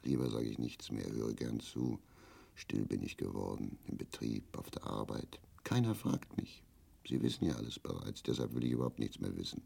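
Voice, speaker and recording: An actor playing a bitter, drunk, lonely old East German husband, gravelly and grumbling, in a grotesque radio drama. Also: male voice, very deep voice, lively intonation, moderate pace, mellow, heavily acted. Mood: melancholy, self-pitying. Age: older. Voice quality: gravelly, husky. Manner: grumbling, declamatory, self-pitying.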